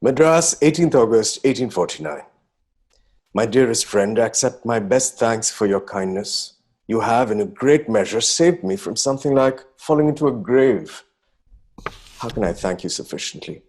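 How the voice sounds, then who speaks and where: A man acting as a young nineteenth-century Bengali poet with an Indian accent, slightly husky in voice. He reads his letters theatrically, self-dramatising and anxious, in ornate, exclamatory phrases from a stage play. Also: mid-range voice, darkish tone, lightly acted. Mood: grateful, eager.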